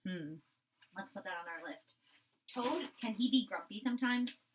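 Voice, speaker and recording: A woman's voice: medium pitch, expressive, moderate pace, distant mic. A woman teaching young children through a read-aloud, explaining simply and expressively and checking in with questions.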